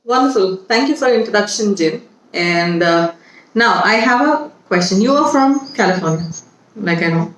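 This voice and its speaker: Casting: woman host, mid-pitched, friendly and a bit hesitant, roomy echoey sound. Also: expressive, moderate pace. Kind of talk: hosting an online interview.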